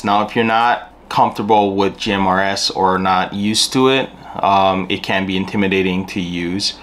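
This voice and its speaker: A man's voice: low-pitched, fairly bright tone, a little hiss or hum. A middle-aged man giving a casual, plainspoken product review to camera, explaining things as he goes in a small room at home. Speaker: gear reviewer.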